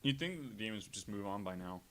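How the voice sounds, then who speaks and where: A male podcast panelist with a lowish, bright-edged voice. He chats casually and drops wry, joking asides in a conversational flow. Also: expressive, fast talker. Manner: wry, wisecracking.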